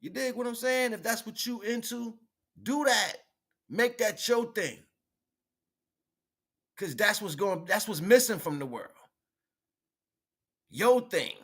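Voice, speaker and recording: Bright-voiced man in an animated, slangy monologue. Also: sits high, takes it slowly, long pauses, breathy edge. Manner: informal slang, checks in with listeners often. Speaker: spirituality vlogger, livestream host. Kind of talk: rambling, conversational monologue on spirituality.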